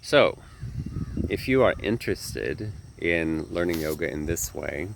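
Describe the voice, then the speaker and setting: A man with a very low, slightly nasal voice talks casually about teaching yoga. He rambles in an earnest way and keeps correcting himself as he goes. Faint crickets and outdoor country ambience sit behind him. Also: expressive, measured pace, vocal fry, background noise. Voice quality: nasal from a sinus infection. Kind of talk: casual talk to camera.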